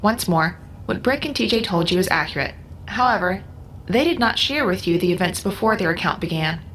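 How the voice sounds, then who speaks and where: A woman's voice: medium pitch, lively intonation, brisk, fairly bright tone, slight background noise, close to an everyday voice, rough recording. Actress as formal, precise female investigator. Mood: formal, unmoved. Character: Eris, formal, dutiful, impassive female investigator. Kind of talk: audio drama acting.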